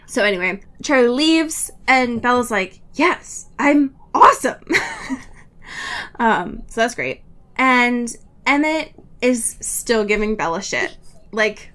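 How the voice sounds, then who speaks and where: A woman's voice: a little high, animated, slow pace, a bit bright. A woman co-hosting a podcast, casually recapping a book in a gushing, sweary way. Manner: gushing, sweary, often laughing. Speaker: podcast co-host.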